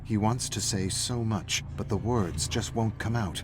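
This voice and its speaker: A man's voice: on the low side, unhurried, noisy background. A man reading a story aloud as a scripted, dramatic narrator. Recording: music playing behind the voice. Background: faint background music.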